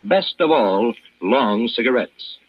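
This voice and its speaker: Old radio ad guy, husky, really animated sales pitch, dull lo-fi sound. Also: slow pace, dry recording. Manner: emphatic, polished salesman delivery. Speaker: commercial announcer. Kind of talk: 1950s radio advertising pitch.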